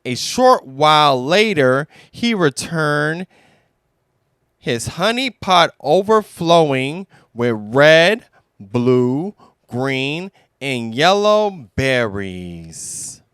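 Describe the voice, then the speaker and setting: Male children's storyteller; smooth, clean delivery, close dry sound, expressive and deliberate. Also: on the high side, dry recording. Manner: expressive, deliberate, emphatic phrasing. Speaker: storybook reader. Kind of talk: children's read-aloud narration.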